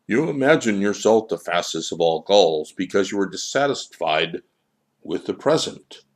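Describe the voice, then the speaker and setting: Man voicing a stern, low-voiced holy child. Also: animated. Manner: stern, measured, didactic. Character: stern, wise divine child, serenely correcting.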